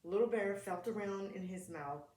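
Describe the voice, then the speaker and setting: A woman's voice: mid-range voice, moderate pace, a little mellow. A woman reading a picture book aloud to preschool children in short, simple phrases, talking to them directly. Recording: echoey room.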